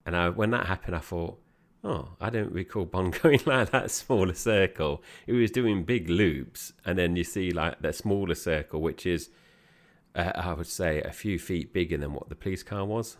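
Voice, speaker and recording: A man's voice: low-pitched, normal speed. A man co-hosting a podcast, chatting casually as he reviews a film, meandering with fillers and restarts. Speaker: podcast co-host.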